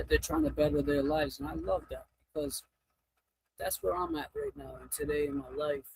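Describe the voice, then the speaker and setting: A man hosting a casual livestream, talking in a rambling, halting way and often breaking off mid-thought. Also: on the high side, creaky, darkish tone, husky, low-quality recording. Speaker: livestream host.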